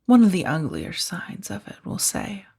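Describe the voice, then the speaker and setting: A woman playing a powerful, seductive mafia boss in a soft-spoken ASMR roleplay, speaking in a breathy, measured, commanding and teasingly menacing way. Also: fairly low pitch, huge pitch swings, heavily acted. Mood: amused, condescending. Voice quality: soft, breathy, often slipping toward whisper. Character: powerful female mafia boss, coolly controlling, seductive kidnapper.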